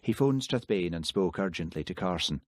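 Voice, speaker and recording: A man narrating an audiobook in a steady, measured storytelling manner. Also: deep voice, no echo, clean studio sound. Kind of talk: scripted narration.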